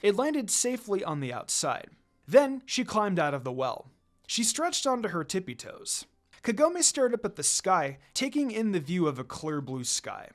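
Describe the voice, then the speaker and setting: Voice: mid-range voice, very animated, normal speed. A narrator reading a fan fiction story aloud in a dramatic style.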